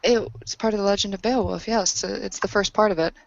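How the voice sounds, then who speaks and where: A woman's voice: mid-range voice, steady tone, fairly quick, gravelly. A woman playing a tabletop roleplaying game, speaking in character and narrating her character's actions. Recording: dull lo-fi audio.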